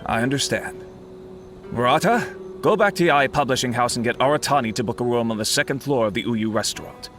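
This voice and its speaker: A man voicing a calm adult editor in a video game who gives clear instructions. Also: mid-range voice, brisk, faint music behind, close to an everyday voice. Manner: calm, directive. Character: adult male publishing editor, steady leader.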